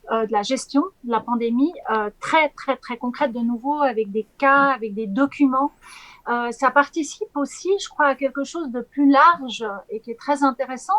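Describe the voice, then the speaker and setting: A woman's voice: sits high, a bit bright, no echo. A woman journalist and documentary director giving thoughtful, articulate answers in an interview. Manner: articulate, earnest, fluent.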